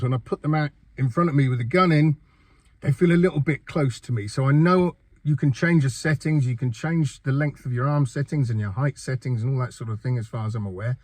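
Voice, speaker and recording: A man's voice: fairly high pitch, a little fast, no room sound. An older man hosting a gaming channel, talking through a casual review while under the weather with a cold, chatty and rambling. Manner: chatty and rambling, with fillers and repeated words. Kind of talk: casual first-impressions game review.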